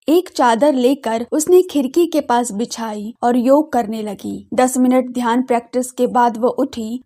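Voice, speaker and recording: A woman narrates a scripted drama story. Her voice sits a little high and has a soft, mellow tone, carrying the scenes as a storyteller.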